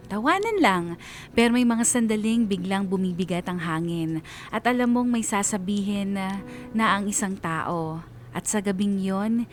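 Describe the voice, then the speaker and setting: An actor playing a reflective, wistful man narrating his story in a radio drama, with a Filipino accent. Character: Liam as reflective, wistful male letter-sender narrator. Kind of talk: reflective scripted first-person narration. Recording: low rumbling background noise.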